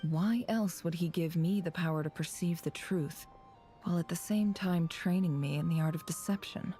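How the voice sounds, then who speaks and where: Woman acting as a cynical sly game spy lady, lowish soft dark voice, measured n knowing, bit of music. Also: close to an everyday voice. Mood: wistful. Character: sly, guarded, cynical female intelligence operative. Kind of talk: dramatic video game voice acting.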